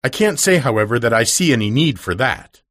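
A man's voice: medium pitch, lively intonation, fairly quick, fairly bright tone. A man voicing a stern, severe, wealthy middle-aged woman who speaks stiffly and dryly, in a storybook reading.

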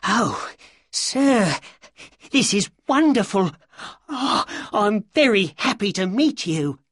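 A narrator voicing a weak, nervous little man in an audiobook story, plaintive and easily excited. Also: mid-range voice, takes it slowly, dry recording, clean studio sound, pitched above the performer's own voice. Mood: excited, delighted. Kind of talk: audiobook character dialogue.

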